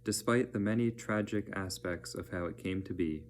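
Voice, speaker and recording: A man reading educational narration aloud as a teacher, in a measured, plain-spoken manner. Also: sits low, slight background noise.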